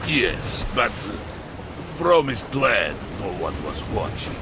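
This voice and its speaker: A man's voice: on the low side, sing-song, slow, background noise, heavily acted. A man playing a big, good-natured warrior in a video game, talking in simple phrases. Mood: good-natured, sheepish. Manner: third-person, simple phrasing. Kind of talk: video game character acting. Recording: waves and ship ambience behind.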